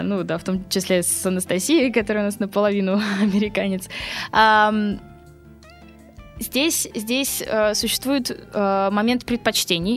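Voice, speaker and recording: A woman who teaches English, answering interview questions in a relaxed, chatty way, laughing often and filling pauses with hesitation sounds. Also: medium pitch. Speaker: English teacher and school co-owner.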